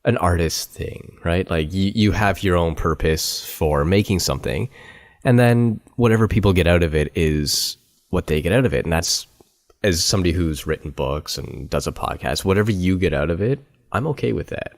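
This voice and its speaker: A man's voice: low-pitched, normal speed. A man hosting a podcast interview, chatting in a friendly, talkative way full of fillers. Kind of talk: casual interview chat.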